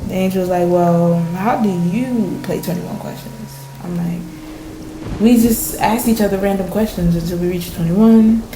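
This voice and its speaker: A woman's voice: on the low side, normal speed, dark tone, slight background noise, natural voice. A woman playing a storm-shaken fisher in a radio play, recounting a surreal vision at sea in intense, colloquial storytelling. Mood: playful, bemused. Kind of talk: dramatic radio play monologue. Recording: roomy, echoing sound.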